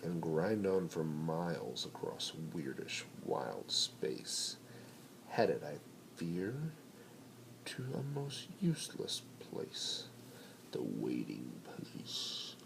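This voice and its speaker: A man reading a children's picture book aloud in an expressive, playful, rhythmic way with dramatic pauses. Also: very deep voice, gravelly. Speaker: bedtime story reader. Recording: noisy amateur home recording.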